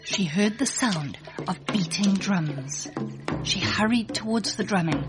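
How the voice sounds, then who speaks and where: A woman narrating a scripted children's story in an expressive read-aloud manner. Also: medium pitch, a little slow, music in the background.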